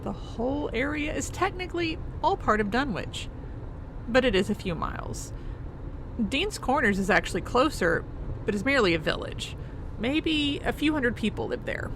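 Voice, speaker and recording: A composed, knowledgeable professor, played by a woman, speaks with plenty of vocal fry in an audio drama. Her voice sits a little high and her phrasing is precise and formal. Clear vehicle-like noise runs behind her. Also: very animated, normal speed. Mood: informative. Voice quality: creaky. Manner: precise, formal, self-possessed. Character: composed, knowledgeable female professor, older than her companion.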